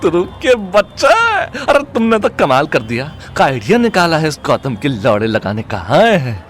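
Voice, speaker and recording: Man acting an excitable, wildly swooping male sidekick. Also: on the high side, a little slow, faint music behind, exaggerated voice. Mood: amazed glee. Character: excitable, crude male sidekick, gleefully impressed. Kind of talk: Hindi audio drama acting.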